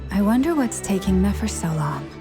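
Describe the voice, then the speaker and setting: An actress playing a gentle young songstress in a video game, soft in manner and mildly teasing. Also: female voice, mid-range voice, very animated, normal speed, music in the background, close to an everyday voice. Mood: curious. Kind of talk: video game character acting.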